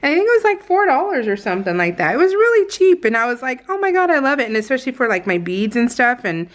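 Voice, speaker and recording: A woman's voice: high-pitched, big pitch swings, fast talker. A woman craft vlogger chatting casually in a giggly, meandering way as she goes through her scrapbook pages. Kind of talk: casual rambling chat over scrapbook pages.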